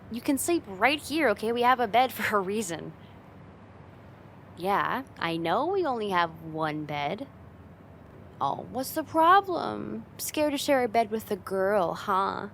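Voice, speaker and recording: A woman's voice: medium pitch, fairly bright tone, slight background noise, full character voice. A woman playing a confident, teasing young woman, a friend's older sister, in a casual ASMR roleplay spoken straight to the listener. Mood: playful, teasing. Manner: playful, teasing, casual, giggly, lightly exasperated.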